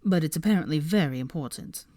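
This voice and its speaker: A woman voicing a cool, concerned young man in an audiobook, quiet and restrained, with a drawl. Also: low voice, expressive, quick pace, no room sound, clean studio sound. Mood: cool, bemused.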